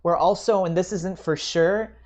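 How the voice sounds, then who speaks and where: A man co-hosting a podcast in casual conversation, animated and joking in an informal way. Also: high voice, a little slow. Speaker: podcast co-host.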